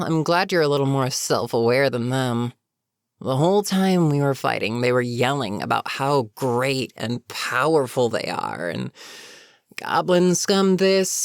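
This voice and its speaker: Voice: mid-range voice, clean tone, dry recording, clean studio sound. A performer voicing a weary goblin captain in a roleplay audio, chatting casually and sardonically with a captive, amused yet businesslike. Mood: dry mockery. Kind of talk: immersive character roleplay monologue, conversational.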